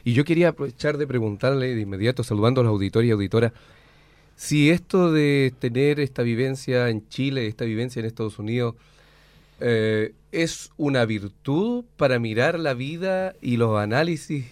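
A man's voice: medium pitch, moderate pace, a little mellow, no room sound. A man co-hosting a radio interview, asking long, winding questions. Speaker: radio co-host.

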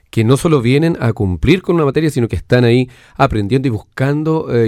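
A man with a Chilean Spanish accent hosting a conversational university radio interview, speaking in long, reflective, measured sentences. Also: mid-range voice, a little mellow.

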